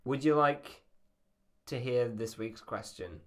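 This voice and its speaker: A man's voice: medium pitch, lively intonation, takes it slowly, fairly bright tone. A man hosting a podcast in a casual, rambling and self-deprecating way. His voice sounds slightly feminine. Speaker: podcast host. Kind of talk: casual chat.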